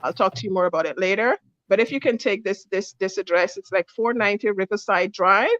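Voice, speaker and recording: A woman's voice: medium pitch, fairly quick, a bit bright, no echo. A woman calling in to a live stream, rambling with many fillers. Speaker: caller. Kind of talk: phoned-in chat. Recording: dull, dry call-in audio.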